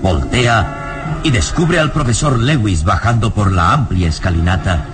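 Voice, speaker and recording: A man's voice: on the low side, lively intonation, a little slow. A man narrating an old radio serial in a solemn, literary, dramatic scripted style. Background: prominent music.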